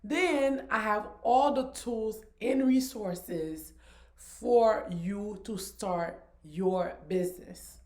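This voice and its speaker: Haitian-born woman, business coach, unhurried teaching delivery, medium pitch. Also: darkish tone. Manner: slow, deliberate, encouraging. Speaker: business strategist. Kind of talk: course overview presentation.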